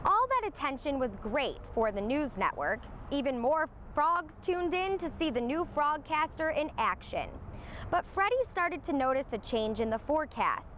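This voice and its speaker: A woman reading a children's storybook aloud in an expressive storytelling manner. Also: high-pitched, moderate pace, a little hiss or hum. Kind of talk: scripted storybook narration for young children.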